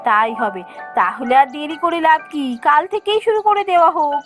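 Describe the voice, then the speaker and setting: A woman playing a gentle, encouraging young newlywed wife in a Bengali cartoon story. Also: sits high, sing-song, brisk, over music.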